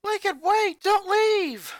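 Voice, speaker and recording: A fanfiction reader voicing a teenage girl, an older sister and musician, who shouts in anger and shame at her family. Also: very high voice, animated, slow, no echo, clean studio sound, pitched above the performer's own voice, exaggerated voice. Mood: angry shouting. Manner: angry, then shaken and tearful.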